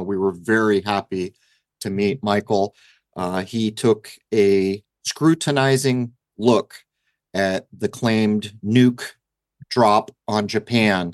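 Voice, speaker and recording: A man hosting a talk radio show, introducing guests and asking brisk, direct questions. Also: fairly low pitch, no room sound.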